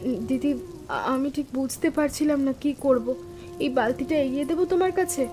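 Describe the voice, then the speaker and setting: A woman playing a timid, earnest young sister-in-law in an animated story, with an anxious, pleading and deferential manner. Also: high-pitched, a little fast, close to an everyday voice. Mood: worried.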